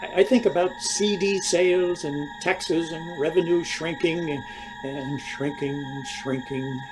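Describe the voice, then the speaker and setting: A man's voice: fairly high pitch, steady tone, measured pace, over music, natural voice, low-quality recording. An actor playing a genial, slightly dim Irish businessman who talks in a hesitant, folksy way. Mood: befuddled. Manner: hesitant, folksy, easily amused.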